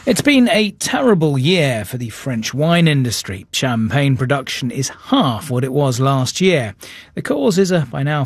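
Male news presenter, husky and creaky, lively intonation, scripted links. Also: medium pitch, no room sound.

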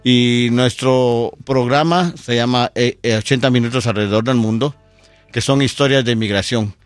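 Middle-aged guy talking on a radio show, lots of "este", dry mic, soft music behind. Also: mid-range voice, clean tone, no echo. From Guatemala. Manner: unhurried, many fillers like "este". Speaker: storyteller and event producer, guest. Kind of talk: radio interview. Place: radio studio.

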